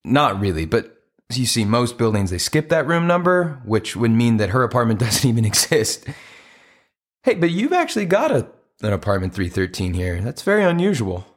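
Casting: hopeful, awkward romantic man for comedic audio play; lively intonation, polite and persistent. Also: mid-range voice, moderate pace. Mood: curious. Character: earnest, awkward, hopeful man searching for his soulmate.

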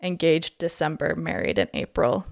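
Low gravelly-voiced young woman storyteller. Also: sing-song, moderate pace, no room sound, clean studio sound. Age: 20s. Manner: chatty, lots of "like" fillers. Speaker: podcast guest. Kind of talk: casual storytelling.

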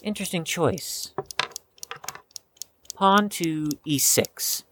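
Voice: mid-range voice, drawn-out words, background noise. An actor playing a reserved, defensive young chess prodigy in an audio drama, with a guarded, precise, slightly stiff delivery. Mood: cautious, dry. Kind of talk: scripted audio drama acting.

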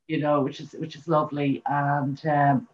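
A woman's voice: low voice, flat delivery, moderate pace, a little mellow, highs cut off. An Irish woman, a musician guest, chatting casually in an interview over a remote call, rambling with many repeats and fillers. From Ireland.

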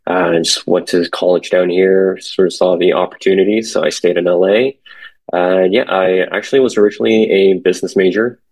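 Podcast guest: young man, very low husky voice, relaxed and halting, lots of ums. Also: low-quality recording. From born in Korea, raised in San Francisco Bay Area. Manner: hesitant, many fillers, relaxed. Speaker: guest, commercial real estate associate. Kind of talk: casual podcast conversation.

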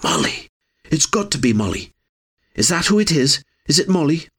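A man's voice: fairly high pitch, big pitch swings, normal speed, fairly bright tone, slightly rough, pitched above the performer's own voice, full character voice. A male narrator playing an anxious, impatient young man who presses with urgent questions. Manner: urgent, rising, pleading questions.

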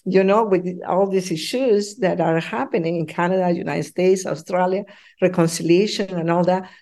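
A middle-aged or older woman from Peru answers interview questions in a slightly low voice. Her English has a Peruvian Spanish accent, and she speaks earnestly in halting, searching phrases. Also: fairly even intonation, warm tone. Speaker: professor, podcast guest.

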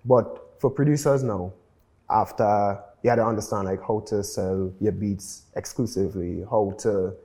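A man's voice: lowish voice, normal speed, warm tone. A man with a Trinidadian accent, talking casually and conversationally as a guest music producer in an interview.

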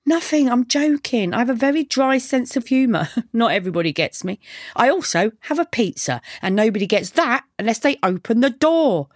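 A brash young punk woman, acted by a female audiobook narrator, glib and mocking with a deadpan edge. Her pitch sits raised and moves freely as she taunts. The sound is dry and close. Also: normal speed, no room sound. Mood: sarcastic, taunting. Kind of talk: audiobook character dialogue.

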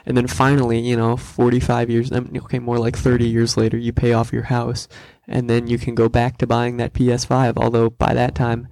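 Young male co-host, monotone delivery, dry close recording; casual, joking explainer. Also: mid-range voice, brisk, vocal fry, hardly any pauses, no echo, low-quality recording. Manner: joking, acts out quoted voices in asides.

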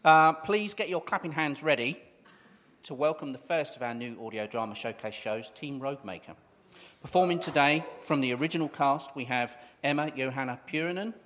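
A man's voice: medium pitch, fairly bright tone. A man hosting a live event and introducing performers from the stage. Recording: echoey hall with faint noise.

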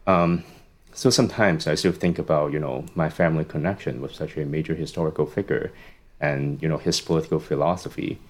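Podcast guest: young male academic, very deep voice, casual, filler-heavy storytelling. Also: a little fast. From Hong Kong. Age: young adult. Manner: relaxed storytelling, frequent "um" and "you know". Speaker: guest, psychology professor.

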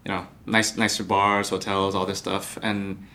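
A man's voice: sits low, flat delivery, a little slow, pushed and bright. A young man from the United States, a podcast guest, answering thoughtfully with many fillers and restarts. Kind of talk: podcast interview.

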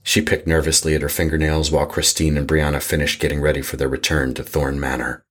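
A man narrating a scripted horror audio drama as an ominous storyteller with a husky voice. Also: flat delivery, quick pace. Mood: foreboding. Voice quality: husky, very deep.

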